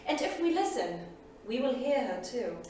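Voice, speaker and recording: Earnest drama narrator: high-pitched, animated, theatrical. Live reading in a very reverberant room. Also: female voice, normal speed. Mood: introducing, earnest. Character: earnest female narrator guiding a historical drama. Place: small studio theatre, live staged reading. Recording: very echoey theatre, distant mic, faint noise.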